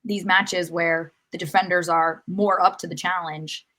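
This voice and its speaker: A woman with a slightly low-pitched voice talks through sports analysis in a casual podcast style. She explains in a conversational way, revising her wording as she thinks aloud. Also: steady tone, a little slow, sharp tone. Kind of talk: casual soccer match analysis.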